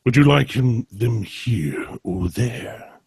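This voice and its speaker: A man voicing Dracula as a slow, menacing, theatrical vampire lord who tempts his victim in a comic stage reading. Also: medium pitch, lively intonation, vocal fry, slightly rough, dry recording, full character voice. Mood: sinister coaxing.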